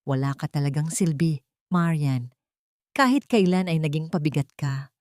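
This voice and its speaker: A woman's voice: deep voice, moderate pace, a little mellow, no room sound, studio quality, lightly acted. Woman voicing a cold, greedy, menacing stepmother. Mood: contemptuous, annoyed. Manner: curt, contemptuous, commanding.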